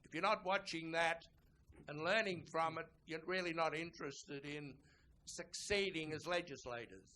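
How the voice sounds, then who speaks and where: An insistent, earnest man asks a question from the audience. His voice is fairly high for a man and sharply bright, recorded live in a room with some light noise. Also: moderate pace. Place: indoor conference session.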